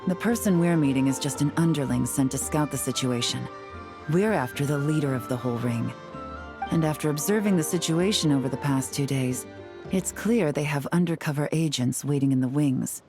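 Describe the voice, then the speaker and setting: A woman's voice: low-pitched, a little fast, music in the background. A woman playing a cool, calculating strategist in a video game, composed and firm in her delivery.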